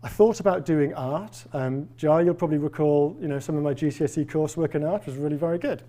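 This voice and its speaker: A man's voice: fairly high pitch, quick pace, mellow, a little hiss or hum. A man in his forties from Wales with a faint Welsh accent, giving a public lecture as a professor in a wry, self-deprecating, conversational way. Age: 40s. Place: lecture hall with a live audience.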